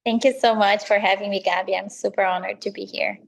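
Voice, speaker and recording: A woman's voice: medium pitch, fairly even intonation, brisk, gravelly. A woman from Brazil with a Brazilian accent, a native Portuguese speaker, answering interview questions as a lifestyle coach in an earnest, motivational way. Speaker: lifestyle coach, podcast guest.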